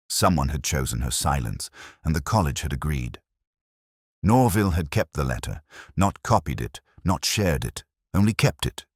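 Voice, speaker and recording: A man narrating a first-person detective story in a measured, brooding way, with deliberate pauses. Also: a bass voice, no echo, studio quality.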